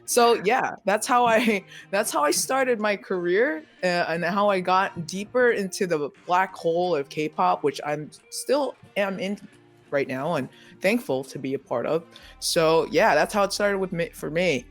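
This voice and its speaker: A young-adult multimedia journalist answering panel questions quickly and animatedly, full of "like" and "you know", over a video call. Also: mid-range voice, soft background music. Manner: fast, animated, many fillers like "like" and "you know".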